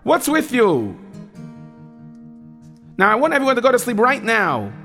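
An actor playing a stern but caring camp counselor who scolds the boys with authority in a children's audio drama. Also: male voice, high voice, sing-song, moderate pace, a bit bright, faint music behind. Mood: scolding.

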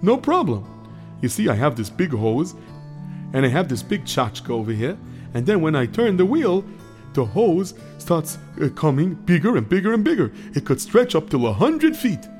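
A cheerful, scatterbrained groundskeeper. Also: male voice, fairly high pitch, wildly swooping pitch, moderate pace, a little mellow, faint music behind. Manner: cheerful and naive, rambling. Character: scatterbrained grown man camp groundskeeper, cheerful. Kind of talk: children's audio drama.

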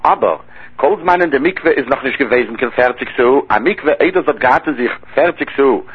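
A man's voice: medium pitch, expressive, a little fast, a little hiss or hum. A man teaching a Talmud study lecture in a sing-song cadence, with phrases that rise and fall. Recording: lo-fi dull recording.

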